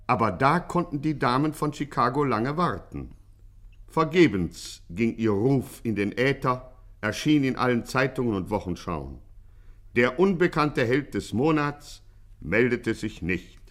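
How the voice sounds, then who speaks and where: A man's voice: medium pitch, animated, unhurried, a bit bright. A man playing a bombastic, tongue-in-cheek crime chronicler, declaiming grandly in a vintage German radio satire. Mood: mock-heroic. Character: bombastic mock-heroic crime chronicle narrator. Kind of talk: 1950s German radio play narration.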